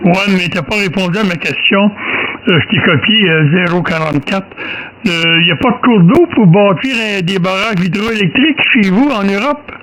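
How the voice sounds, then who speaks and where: A man's voice: sits high, moderate pace, a bit bright, poor audio. A man from Quebec with a Québécois accent, chatting casually as he leads an amateur radio contact. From Quebec, Canada. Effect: amateur radio transmission. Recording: amateur radio with faint noise.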